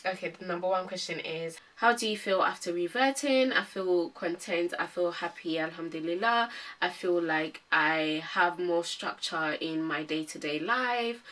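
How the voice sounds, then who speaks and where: Low-voiced young woman vlogger, bright tone. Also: normal speed, recorded from a distance, poor audio. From Ghana. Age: 20s. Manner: calm, many ums and hesitant restarts. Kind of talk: casual Q&A vlog.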